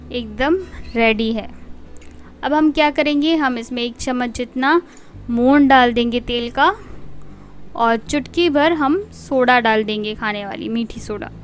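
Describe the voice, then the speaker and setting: A middle-aged woman hosting a home cooking channel, calmly explaining each step of a recipe to viewers in a voice-over. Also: high-pitched, a little hiss or hum. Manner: calm, explanatory, addresses viewers directly. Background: soft background music.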